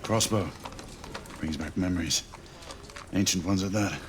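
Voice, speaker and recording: An actor playing a determined veteran knight of a secret order in a video game, speaking gravely and formally. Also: male voice, low-pitched, unhurried, background noise. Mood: focused, dry. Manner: grave, formal, clipped phrasing. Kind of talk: cinematic video game voice acting.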